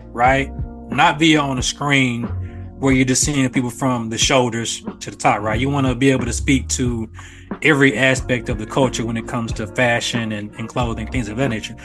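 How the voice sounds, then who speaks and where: An American man talking casually and thoughtfully on a podcast, explaining himself at length in measured phrases. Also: medium pitch, a bit bright. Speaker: podcast co-host appearing as guest. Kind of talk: casual reflective podcast conversation.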